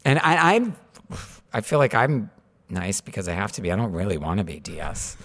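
A man with a somewhat low voice hosts a podcast wrap-up. He talks with playful enthusiasm and a loose, chatty flow. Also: sing-song, moderate pace, gravelly, a bit bright. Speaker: podcast host. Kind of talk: casual podcast wrap-up chat.

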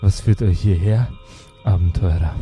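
A man voicing a haughty monstrous underground king in a tabletop audio game, speaking ponderously and pompously with halting pauses. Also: deep voice, mellow, lightly acted. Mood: regal, pleased. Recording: eerie music under the voice.

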